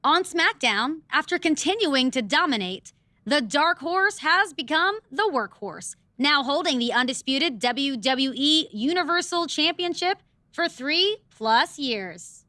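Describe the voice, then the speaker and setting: A woman's voice: high-pitched, lively intonation, a little slow, pushed and bright, no echo, studio quality, full character voice. A woman playing a snarky, condescending wrestling show co-host in a video game cutscene. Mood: haughty. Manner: sarcastic, haughty.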